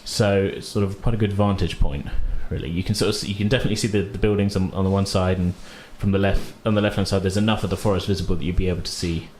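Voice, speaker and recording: A man's voice: sits low, fairly even intonation, fast talker, hardly any pauses, a little mellow, a little hiss or hum. A man narrating a tabletop role-playing game as game master, conversational, loosely describing things as he goes. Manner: conversational, hedging descriptions with "kind of" and "sort of".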